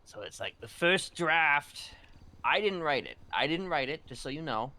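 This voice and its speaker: A man's voice: a little high, normal speed. A man chatting casually in character during a roleplay, hesitant and full of fillers. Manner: animated, hesitant, filler-heavy.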